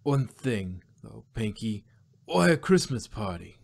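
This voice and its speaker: A man voicing a patient, mild-mannered kitchen manager in a story, measured and mildly dry. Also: fairly low pitch, big pitch swings, creaky, heavily acted.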